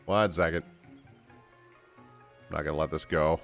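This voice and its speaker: A man's voice: very deep voice, fairly even intonation, normal speed, fairly bright tone. A man streaming a video game, commenting casually and correcting himself as he stumbles over names. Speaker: streamer reading game dialogue aloud. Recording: game music behind the voice. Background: video game music.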